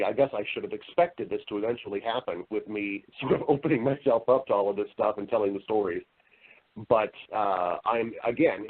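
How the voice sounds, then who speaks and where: Low-voiced American man on a narrow-band line. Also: husky. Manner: earnest, emphatic, halting with repeated phrases. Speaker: podcast guest, voice-over artist and storyteller. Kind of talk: conversational interview storytelling. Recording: narrow-band, radio-like call audio.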